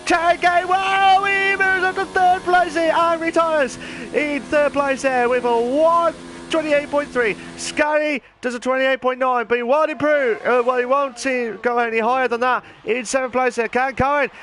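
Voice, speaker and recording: A man hosting and commentating an online racing league, his voice hoarse and nearly gone, talking in an excitable, chatty way. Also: very high voice, normal speed. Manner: excitable, shouting during qualifying, then calm chatty standings rundown. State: hoarse, lost voice. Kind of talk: live esports race commentary.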